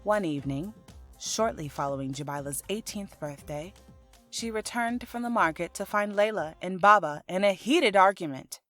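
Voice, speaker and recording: A woman's voice: medium pitch, lively intonation, normal speed, a bit bright, soft background music. A woman reading scripted audiobook narration as the storyteller of a fiction podcast.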